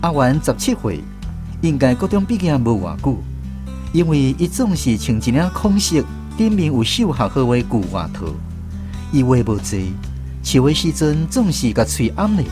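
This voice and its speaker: An actor narrating as a former conscript in a radio drama, telling scripted first-person memories with a Taiwanese accent. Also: male voice, medium pitch, very animated, moderate pace, natural voice. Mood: reflective. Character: wry former conscript narrating his island army memories. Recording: music bed under narration.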